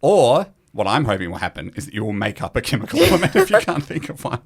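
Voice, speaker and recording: A man's voice: medium pitch, sing-song, quick pace, breathy edge. An Australian man hosting a quiz in a casual, bantering style. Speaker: quiz host.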